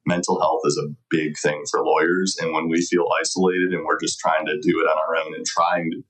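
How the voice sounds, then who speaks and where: A man's voice: a bass voice, little pitch movement, a little fast, a bit bright, poor audio. A middle-aged man answering conversationally as an interview guest, earnest and reflective. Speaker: podcast guest, lawyer.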